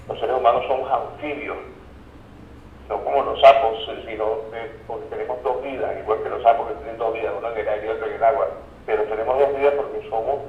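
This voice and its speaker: A Venezuelan man speaking as an expert guest in an interview, explaining ideas reflectively and didactically with word origins and everyday examples. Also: mid-range voice. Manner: didactic, reflective, checks in with the listener. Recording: roomy echo, dull lo-fi sound, faint noise.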